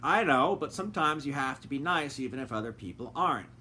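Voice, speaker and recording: A man's voice: medium pitch, expressive, fairly quick, bright, forceful tone, a little hiss or hum. A man voicing a loyal, protective dog who talks earnestly.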